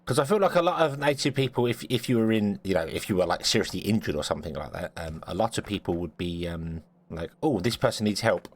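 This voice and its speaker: A man's voice: fairly low pitch, lively intonation, fast, hardly any pauses. A British man chatting jokingly with a friend over a game, often stumbling and restarting his sentences. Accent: British English. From Britain. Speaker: co-op gameplay commentator. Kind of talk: casual gaming chat.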